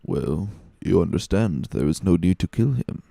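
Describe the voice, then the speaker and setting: Casting: male reader as manipulative seafaring villain, calculating and glib, low-ish mellow voice, classic storybook drama. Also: lively intonation, normal speed, vocal fry, dry recording, studio quality, exaggerated voice. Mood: sly, dismissive. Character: sly, scheming ship's supercargo, smooth manipulative villain.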